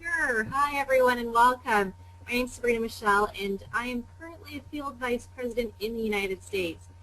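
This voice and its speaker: A woman with a Canadian accent introduces herself on a webinar in a friendly, brisk way. Her voice is bright and crisp, with a faint hiss behind it. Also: a little high, rough recording. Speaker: field vice president, programme graduate.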